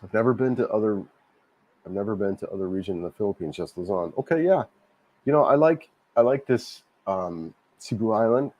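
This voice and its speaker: Slightly hoarse man chatting on a livestream. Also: lowish voice, animated, a little fast, darkish tone, dull audio. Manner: rambling, repeats himself, frequent restarts. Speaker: livestream host. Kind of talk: casual livestream chat reading viewer comments. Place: home in Cebu, Philippines.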